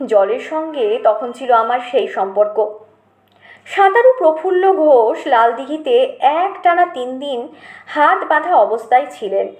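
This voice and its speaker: A woman reading a literary memoir as an audiobook narrator, in an expressive storytelling manner. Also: sits high. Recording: roomy, echoing voice recording.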